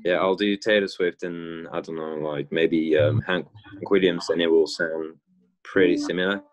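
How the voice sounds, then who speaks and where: A young man from France, a guest musician chatting on a video call from inside a car, halting with many fillers and restarts. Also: deep voice, steady tone, muffled recording. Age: young adult. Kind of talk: casual video call interview.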